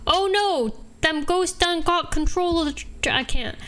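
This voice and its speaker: Woman doing a high-pitched, hammy male ghost hunter. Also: a little slow, music in the background. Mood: panicked, hammy. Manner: folksy, exclamatory, attempted Canadian accent. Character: excitable male ghost-hunting truck driver, hammy and panicked.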